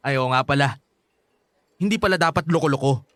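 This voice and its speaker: A man's voice: on the high side, normal speed, a bit bright, no echo, studio quality, lightly acted. Bitter, hot-headed young Filipino son played by a man. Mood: angry, scornful. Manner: confrontational, sarcastic, taunting. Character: bitter, hot-headed grown son scorning his father. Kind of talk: Filipino radio drama acting.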